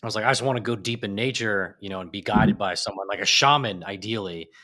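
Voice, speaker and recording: A man chatting as a podcast guest, an animated storyteller whose voice jumps up when he gets excited. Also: on the low side, quick pace. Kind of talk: casual chat. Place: apartment in a big city.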